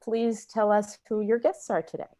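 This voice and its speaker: A woman's voice: mid-range voice, little pitch movement, moderate pace, dark tone, no room sound, clean studio sound. A woman introducing the guest host of a lunchtime talk over a video call.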